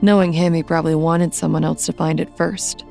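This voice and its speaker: A middle-aged woman playing a bitter, guarded widowed mother in an audio drama, curt and sardonic, with a gravelly voice. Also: low-pitched, level tone, brisk, darkish tone, soft background music.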